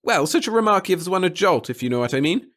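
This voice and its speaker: A man's voice: high voice, very animated, fast, fairly bright tone, pitched above the performer's own voice. A man voicing a small, nervous, fussy bureaucrat in an audiobook, speaking in formal, wordy, obsequious sentences. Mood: flustered, cautious. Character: little wizened fussy nervous male bureaucrat with hidden cunning. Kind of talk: audiobook character acting.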